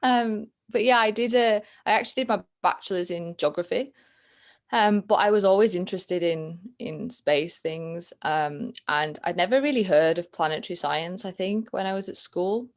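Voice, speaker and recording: A young woman in her twenties with a Yorkshire accent, talking thoughtfully as a guest over a video call, often pausing with ums and restarting. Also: on the low side. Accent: Northern English, Yorkshire. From England. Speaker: guest, planetary science student. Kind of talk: casual interview chat.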